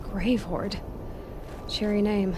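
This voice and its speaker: A woman's voice: medium pitch, fairly even intonation, slow pace, background noise, lightly acted. A woman playing a determined, sceptical young woman adventurer in a video game, blunt, questioning and dryly sarcastic. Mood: wry. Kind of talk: video game cutscene dialogue. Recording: game music behind the voice. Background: prominent music.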